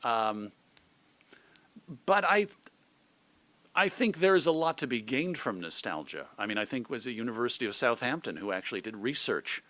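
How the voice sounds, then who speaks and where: A middle-aged man from suburban Chicago giving reflective, measured answers as an interview guest, carefully qualifying his points. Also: medium pitch, animated, fairly bright tone, no room sound. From suburban Chicago, United States. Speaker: podcast guest, magazine editor and radio host.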